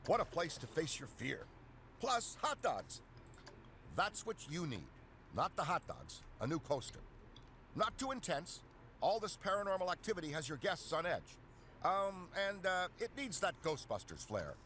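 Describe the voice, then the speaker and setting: An actor playing an earnest, eager middle-aged paranormal scientist in a video game, talking in technical, rambling turns. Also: male voice, high voice, very animated, moderate pace, a bit bright, slight background noise. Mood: wistful, eager.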